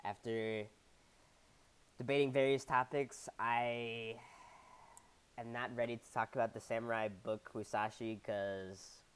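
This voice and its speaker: Smooth-voiced man with a head cold. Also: medium pitch, fairly even intonation, a little slow, pauses often. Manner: laid-back, trailing off, filler-heavy. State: stuffy head cold, sniffly. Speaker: podcast co-host. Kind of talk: casual podcast chat.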